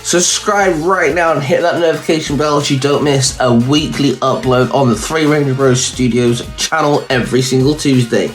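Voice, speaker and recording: A man's voice: medium pitch, fairly even intonation, moderate pace, hardly any pauses. A man hosting a channel, delivering an insistent, urging promotional plug to viewers. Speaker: channel host. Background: music.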